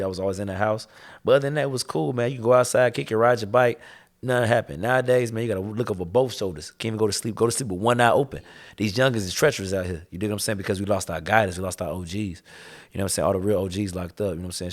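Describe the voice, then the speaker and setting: A young man from the Chicago area, a rapper talking casually in an interview, laid-back and slangy, often saying "you know what I'm saying". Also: on the low side. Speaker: rapper guest.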